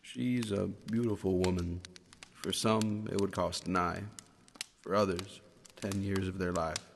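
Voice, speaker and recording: A man plays Death as a lonesome, gentle cowboy with a country drawl. His low voice is gravelly and solemn, ringing in long reverb in a radio play. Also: steady tone, a little slow. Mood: sleepy, solemn. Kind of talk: radio drama acting. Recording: long reverb, faint noise.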